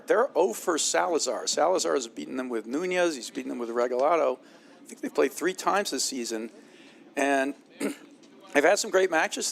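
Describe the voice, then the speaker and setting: Brief: male color analyst, gravelly, slightly high voice, relaxed and chatty. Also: a little hiss or hum. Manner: casual, conversational analysis with fillers. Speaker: color commentator. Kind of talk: sports broadcast commentary.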